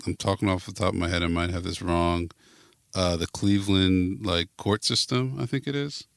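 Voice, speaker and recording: A man's voice: deep voice, fairly even intonation, normal speed, creaky, no room sound, studio quality. A man being interviewed as a podcast guest, chatting casually and thinking aloud as he goes. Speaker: podcast guest, network founder.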